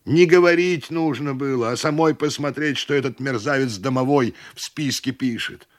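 A man's voice: medium pitch, dry recording, studio quality, pitched above the performer's own voice, lightly acted. A man voicing an exasperated, sarcastic male householder who rants in melodramatic exclamations, in a dramatic story reading. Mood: furious. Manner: ranting, melodramatic, drawn-out exclamations.

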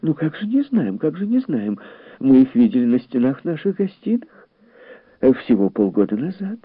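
A man's voice: medium pitch, sing-song, moderate pace, husky, no echo, voice pushed up. A man voicing a chattering, smug and shallow society woman who is easily scandalized, in a gushing audiobook character voice. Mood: indignant. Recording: narrow, phone-like lo-fi sound.

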